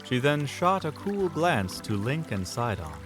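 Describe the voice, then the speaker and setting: A man's voice: on the high side, big pitch swings, measured pace, a bit bright. A man narrating a fantasy audio drama in a scripted, dramatic storytelling style. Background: music under the voice.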